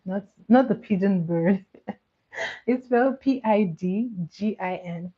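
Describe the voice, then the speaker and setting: A woman's voice: mid-range voice, takes it slowly. A woman from eastern Nigeria with a Nigerian accent, whose first language is Igbo, chatting casually and animatedly with live viewers, with lots of filler words and laughter. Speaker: livestream host. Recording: thin phone-like audio.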